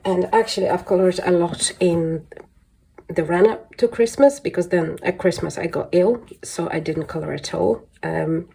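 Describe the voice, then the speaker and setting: Woman, congested nose, husky, lowish pitch, casual hobby vlogger, rambling, hesitant. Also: moderate pace, warm tone. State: congested from flu. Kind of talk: casual chatty show-and-tell.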